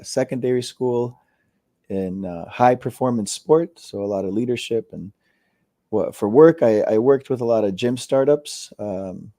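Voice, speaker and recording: Low, mellow man in his thirties. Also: dry recording, studio quality. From Costa Rica. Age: 30s. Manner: relaxed, repeats words, many fillers. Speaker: guest. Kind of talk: casual interview chat.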